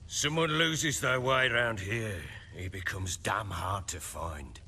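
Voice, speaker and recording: A low, slow voice with a forceful edge, a burly, weary baron from a fantasy game. He speaks bluntly over faint ambience. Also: a little hiss or hum, heavily acted. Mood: grim, measured.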